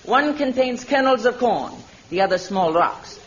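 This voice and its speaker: A woman's voice: a little high, lively intonation, a little slow, a little hiss or hum. A woman playing a proud, imperious Spanish queen of man-hating women in a vintage radio adventure, haughty and commanding. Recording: dull vintage radio recording.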